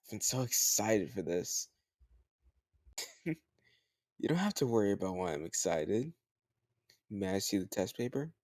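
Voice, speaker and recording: A man playing a teasing, slightly older home tutor who gently chides the listener in a conversational, intimate roleplay audio drama. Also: on the low side, very animated, unhurried, pauses often, dry recording, clean studio sound. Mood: playful, sly.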